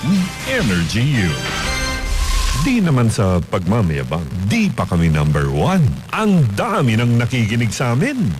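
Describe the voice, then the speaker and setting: A man's voice: medium pitch, wildly swooping pitch, unhurried. A man reading playful, conversational scripted promos as a radio station announcer. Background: music bed.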